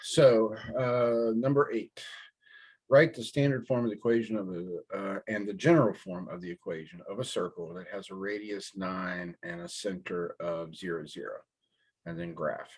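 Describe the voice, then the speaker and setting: Deep hoarse guy teaching math, raspy from a sore throat, lots of ums. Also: fairly even intonation, moderate pace, a little mellow. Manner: step-by-step, frequent ums and throat clearing. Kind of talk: classroom lecture walkthrough.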